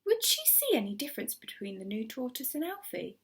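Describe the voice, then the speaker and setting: Animated, higher-pitched woman reading a storybook. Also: fairly quick. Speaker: teacher reading aloud to schoolchildren. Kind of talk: expressive children's storybook narration.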